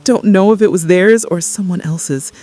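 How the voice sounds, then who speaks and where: An actress playing a guilt-ridden, traumatized mother in an apocalypse radio drama, confessing haltingly and repeating her phrases in distress. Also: on the low side, dark tone, natural voice. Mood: grieving, guilty.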